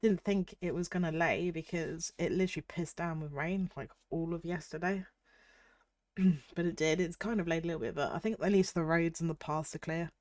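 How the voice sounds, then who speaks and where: A young British woman streaming as a VTuber, chatting casually and reading chat aloud while her voice is husky from a cold. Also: fairly low pitch, normal speed, darkish tone, dry recording. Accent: British English. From United Kingdom.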